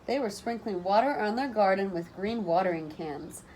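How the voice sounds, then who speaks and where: Female storybook reader, teacherly and expressive, widely varied pitch, some noise in the recording. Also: rough recording. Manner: animated, deliberate phrasing for children. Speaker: teacher reading a storybook aloud. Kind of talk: expressive children's storybook read-aloud. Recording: noticeable background noise.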